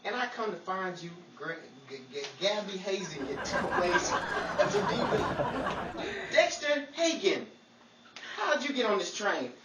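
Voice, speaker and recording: A man's voice: high-pitched, sing-song, a little slow, a crowd in the background. A man playing a shifty, flustered amateur sleuth in a 1940s-style radio comedy, stammering and repeating himself in exasperation. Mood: exasperated. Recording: roomy, distant, very noisy live recording.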